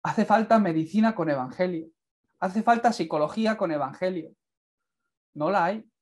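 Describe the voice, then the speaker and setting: A man's voice: high-pitched, moderate pace. A young man with a Castilian Spanish accent giving an earnest, fervent sermon and closing prayer, speaking to a camera indoors. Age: young adult. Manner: earnest, fervent, builds to emphatic peaks. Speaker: preacher. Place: indoors, in front of a plain light wall.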